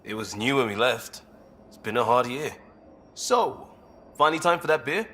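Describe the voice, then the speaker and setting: A man's voice: mid-range voice, animated, moderate pace, bright, forceful tone, a little hiss or hum. A man playing an easygoing, blunt former cop turned space rescuer in a video game, talking casually and candidly. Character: easygoing, blunt ex-cop human crisis responder, male.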